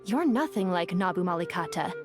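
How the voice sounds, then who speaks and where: A woman voicing an ancient, devoted djinn in a video game, eloquent and measured, with a seductive, enigmatic manner. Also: lowish voice, expressive, over music, natural voice. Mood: fascinated. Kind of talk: dramatic video game voice acting.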